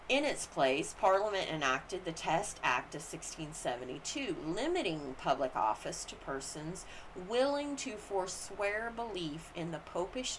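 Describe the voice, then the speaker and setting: A woman narrates formal written history aloud in a slightly low voice. Her intonation swings widely, with emphatic stress on important phrases. Faint noise sits behind the reading. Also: poor audio. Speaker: reader of a history and law book. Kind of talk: scripted read-aloud narration of legal history.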